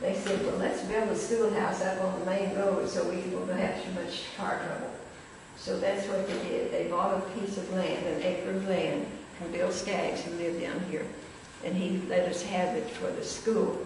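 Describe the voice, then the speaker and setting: Creaky older woman in an echoey hall. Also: fairly low pitch, flat delivery, normal speed, a little mellow. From rural Missouri, United States. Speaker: former pupil and local historian sharing memories. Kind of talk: casual reminiscence. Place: large echoing indoor room, recorded from a distance. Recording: very echoey hall, distant mic, noisy.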